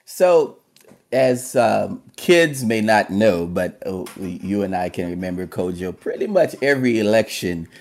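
Deep-voiced Haitian-born teacher, halting. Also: male voice, expressive, a little mellow. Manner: hesitant, many fillers. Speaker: history and government teacher. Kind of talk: radio interview guest.

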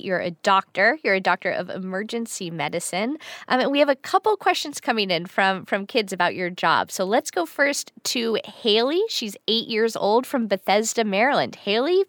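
A woman hosting a children's radio show delivers her lines in a clear, friendly, measured way. Her voice sits at a middling pitch, and the studio sound is very dry. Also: expressive, a bit bright, no echo. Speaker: guest radio host. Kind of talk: scripted radio hosting for kids.

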